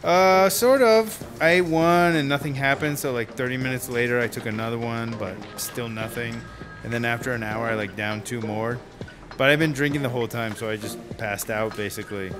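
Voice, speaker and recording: An actor playing a laid-back slacker screenwriter in telesales, rambling and slangy in a radio drama. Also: male voice, mid-range voice, normal speed, natural voice. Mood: casual, rambling. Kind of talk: naturalistic radio drama acting. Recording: music under the dialogue.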